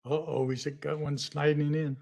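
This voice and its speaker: A man's voice: a little high, little pitch movement, moderate pace, vocal fry, darkish tone. A man serving as vice chair, running a city commission meeting in a plain, folksy way with many fillers. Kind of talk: public meeting procedure.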